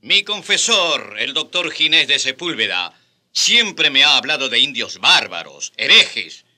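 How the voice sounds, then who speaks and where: A man's voice: mid-range voice, big pitch swings, measured pace, sharp tone, heavily acted. An actor playing a haughty Spanish king in a radio drama, declaiming in a stately manner. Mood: skeptical.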